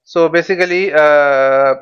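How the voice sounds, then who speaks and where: High-pitched man teaching, dull lo-fi mic. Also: fairly even intonation, clear, resonant tone. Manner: halting, repeats words and phrases. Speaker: flight simulation instructor. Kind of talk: informal spoken tutorial, explaining step by step. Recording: dull, lo-fi microphone sound.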